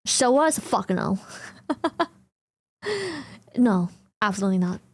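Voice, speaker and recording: A woman's voice: medium pitch, expressive, takes it slowly, no room sound. A woman from Germany with a German accent, chatting casually on a live stream, laughing often and swearing bluntly.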